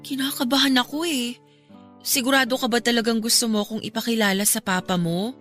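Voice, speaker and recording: A woman's voice: fairly high pitch, steady tone, a little fast, fairly bright tone, close to an everyday voice. An actress playing a nervous, polite young woman in a Filipino radio drama. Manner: timid, polite. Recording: music behind the voice.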